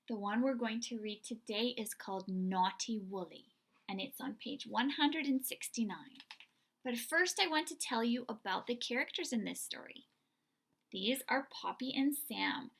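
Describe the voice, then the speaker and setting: A woman hosting a read-aloud for young children, telling the story in an expressive, deliberate way. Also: on the high side, vocal fry, breathy edge. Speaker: children's story reader and host.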